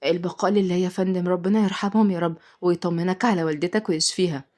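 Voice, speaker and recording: A woman's voice: on the low side, fast, lightly acted. A woman voicing a sweet, playful nanny who speaks politely and tenderly in an audio story. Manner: tender, expressive.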